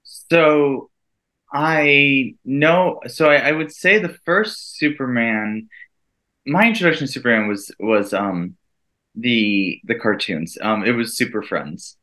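A man's voice: medium pitch, slow pace, bright, forceful tone. A man in his thirties, a native Italian speaker raised in the United States, talking earnestly and reflectively as an interview guest. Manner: earnest, reflective, laughs lightly. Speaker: guest, event co-director and teacher. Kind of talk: casual interview conversation.

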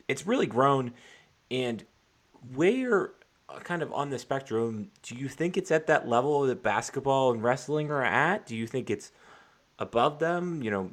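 A man co-hosting a casual podcast chat, asking informal, roundabout interview questions. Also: medium pitch.

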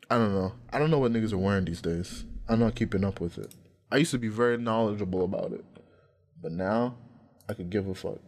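A man's voice: medium pitch, a little mellow. A man hosting a podcast in casual chat, rambling with lots of fillers and swearing. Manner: rambling, profane, filler-heavy. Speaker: podcast host.

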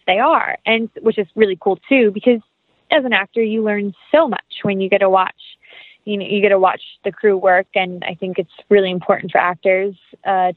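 Over a narrow, phone-like connection with a dry sound, a woman talks casually as an interview guest. Her voice is mid-pitched, and she is chatty and enthusiastic. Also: no echo.

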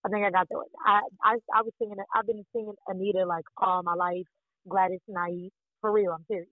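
A woman from Fort Worth, Texas, with a deep, raspy voice, talking excitedly as a singer being interviewed, rambling and repeating words. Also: a little fast, no room sound. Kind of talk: casual interview chat. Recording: dry, tinny phone-like line.

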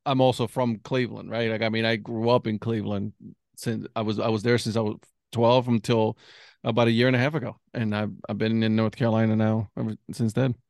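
A man's voice: lowish voice, little pitch movement, fairly quick, gravelly, darkish tone, dry recording. Chatty man from Puerto Rico, dry sound. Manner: chatty, laughs often, restarts sentences. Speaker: podcast host. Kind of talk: casual podcast interview.

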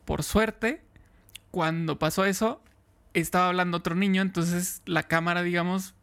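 A man's voice: sits high, fairly even intonation, normal speed, vocal fry, a bit bright, clean tone. A Mexican man hosting a podcast, chatting casually and wrapping up with friendly thanks to listeners. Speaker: podcast host. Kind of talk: casual chat.

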